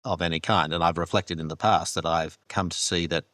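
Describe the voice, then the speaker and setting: A man in his fifties with a Canadian accent speaks in a very low, unhurried voice. He answers questions thoughtfully, breaking into soft laughs now and then. The sound is dry and close. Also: fairly even intonation, no room sound. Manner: measured, thoughtful, laughs softly. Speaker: archbishop guest. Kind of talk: reflective interview answers.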